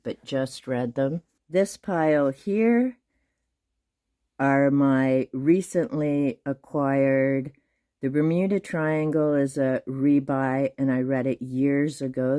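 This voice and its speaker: A middle-aged woman, a native Hungarian speaker, calmly and methodically touring her bookshelves for her channel. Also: very deep voice. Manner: calm, methodical counting and listing. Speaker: booktube host. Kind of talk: casual shelf tour.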